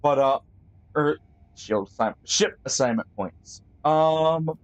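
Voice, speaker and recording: Male game streamer, light higher voice, relaxed think-aloud commentary full of fillers. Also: slow pace. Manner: friendly sign-off to viewers. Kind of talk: live gameplay commentary.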